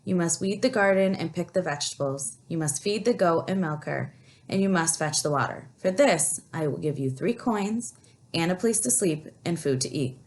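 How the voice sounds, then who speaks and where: An old grandma witch from a children's storybook, as a woman reader puts her on. She is firm and instructive, laying down rules in a measured way. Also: fairly low pitch, natural voice. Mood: firm, instructing.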